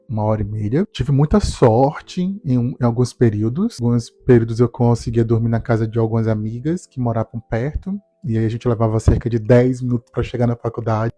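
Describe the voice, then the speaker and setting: A man, telling his life story to camera in a calm, reflective way. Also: medium pitch, no echo. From Salvador, Brazil. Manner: calm, reflective, measured delivery. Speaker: speech therapist and doctoral student. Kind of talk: personal testimony to camera.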